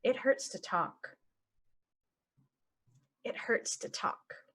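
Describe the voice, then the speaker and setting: A woman teaching English phrases, saying each one and then repeating it slowly and clearly for learners. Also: pushed and bright. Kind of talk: slow phrase-by-phrase language lesson.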